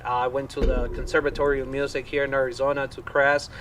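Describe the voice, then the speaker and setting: Bright-voiced young man. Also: mid-range voice, fast talker, recorded from a distance, a little hiss or hum. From Colombia. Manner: animated, laughing, swearing casually. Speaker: bass player and band guest. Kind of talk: casual storytelling chat.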